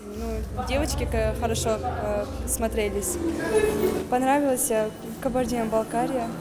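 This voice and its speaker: A woman student dancer giving an interview comment in plain, halting speech. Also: fairly high pitch, steady tone. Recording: loud music and crowd.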